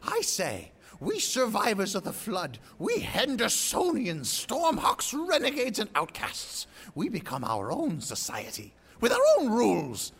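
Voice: medium pitch, huge pitch swings, unhurried, creaky, few pauses, fairly bright tone, husky, exaggerated voice. An actor playing a grandiose, suspicious rebel leader of a ragtag band in an audio drama, speechifying theatrically. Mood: rousing, grandiose.

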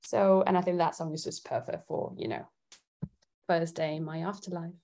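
A woman's voice: lowish voice, steady tone, normal speed, dark tone. A woman from Italy chatting casually as a guest singer-songwriter in an interview.

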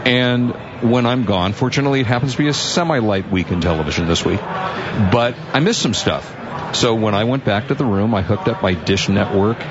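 A man's voice: lowish voice, moderate pace. A man co-hosting a radio show live from a trade show floor, chatting and joking with guests. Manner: chatty, jokey, animated. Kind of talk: casual radio chat. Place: convention floor. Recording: muffled, noisy show-floor recording.

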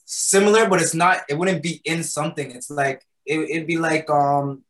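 A man's voice: a little high, fairly even intonation, moderate pace, a bit bright. A man answering interview questions in a loose, conversational way, filling his answers with "um" and "right?". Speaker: guest, patty shop founder. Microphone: recording studio mic.

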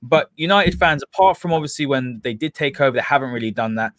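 A man's voice: medium pitch, animated, fast, fairly bright tone, no room sound. A man with a London accent hosting a football podcast, talking in a casual, opinionated discussion style. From London area, England.

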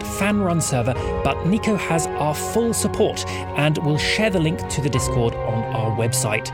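A man reading scripted thanks and announcements as a podcast announcer. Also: on the high side. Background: loud music.

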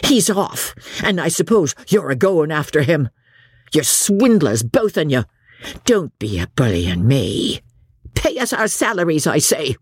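A woman's voice: low voice, sing-song, unhurried, husky, dry recording, studio quality, full character voice. Woman acting a belligerent, tipsy English footman. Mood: belligerent, jeering. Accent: English, working-class. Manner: belligerent, dropped endings. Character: drunken, surly, defiant footman, adult man.

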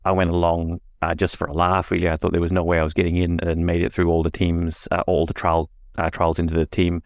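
A man from Singapore talking as an interview guest, halting and self-deprecating, laughing at himself. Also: sits low, monotone, no room sound. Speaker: podcast guest. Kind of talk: casual interview conversation.

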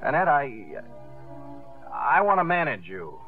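An actor playing a brash, persuasive young promoter with excitable sales patter in a 1950s radio drama. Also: male voice, medium pitch, takes it slowly, faint music behind. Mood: excited pitch. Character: eager young fast-talking showman promoter, brash and persuasive. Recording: tinny, band-limited old radio recording.

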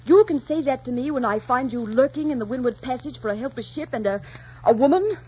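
A woman's voice: sits high, lively intonation, a little fast, warm tone, breathy edge. An actress playing a haughty, wounded noblewoman in a 1940s radio drama, theatrical, scornful and impassioned. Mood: scornful, defiant. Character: haughty, defiant widowed English noblewoman, sharp-tongued and wounded. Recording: muffled, noisy 1940s radio recording.

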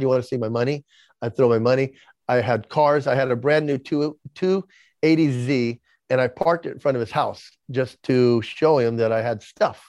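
A man's voice: mid-range voice, darkish tone, no room sound. A man in his sixties with a lisp, candidly telling his life story as an interview guest, often repeating words as he starts a sentence. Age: 60s. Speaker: podcast guest.

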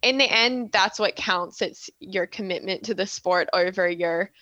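A woman's voice: mid-range voice, measured pace, pushed and bright, no room sound, dull audio. A woman in her twenties with an Australian accent tinged with Canadian, chatting as an interview guest, laughing often between fillers. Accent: Australian-Canadian blend. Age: 20s. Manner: giggly, chatty, many fillers. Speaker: guest, synchronized swimmer. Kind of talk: casual interview chat.

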